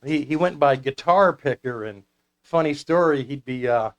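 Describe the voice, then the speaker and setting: A man's voice: fairly high pitch, normal speed. An American man chatting casually as an interview guest, telling stories in an anecdotal, conversational way. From United States. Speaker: guest, CB radio club founder.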